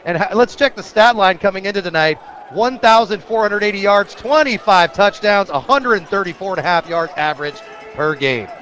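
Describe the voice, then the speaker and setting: A man calling live football play-by-play on the radio, excitable and breaking into shouts on big plays. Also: sits high, moderate pace. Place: outdoor football stadium broadcast.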